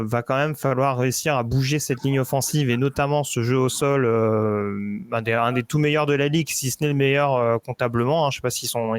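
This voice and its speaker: A man's voice: mid-range voice, smooth, no room sound. A man giving casual football predictions on a podcast, hesitant, with many fillers and restarts. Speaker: football analyst.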